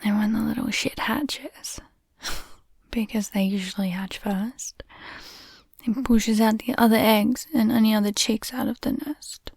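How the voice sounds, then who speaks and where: A woman playing an affectionate, teasing girlfriend in an intimate bedtime roleplay, whispering softly and unhurriedly up close to the microphone. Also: a little high, fairly even intonation, measured pace, dry recording, exaggerated voice. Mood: playfully indignant. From Australia. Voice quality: soft, breathy whisper. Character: affectionate, teasing, nerdy young Australian girlfriend in bed. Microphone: close microphone, dry.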